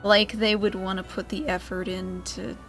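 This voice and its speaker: A woman's voice: medium pitch, little pitch movement, normal speed, creaky, fairly bright tone, faint music behind. A woman giving casual, chatty gameplay commentary as the host of a gaming video. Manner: chatty, informal.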